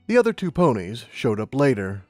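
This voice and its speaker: A man narrates a story from a script. His voice is medium in pitch and recorded dry and close, with music playing behind it. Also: sing-song, a little fast, no echo. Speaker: audiobook narrator.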